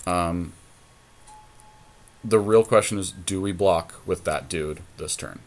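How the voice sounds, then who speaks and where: A man's voice: low-pitched, takes it slowly. A man chatting casually as he thinks aloud through a card game, analytical and loose with his language. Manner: analytical, talks through each play, casual swearing. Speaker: podcast co-host playing a card game.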